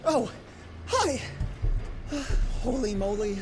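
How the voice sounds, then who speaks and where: An actress playing a messy, scatterbrained genius, flustered and exclaiming, in a video game cutscene. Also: female voice, a little high, sing-song, extremely slow, exaggerated voice. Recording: clear background noise and ambience.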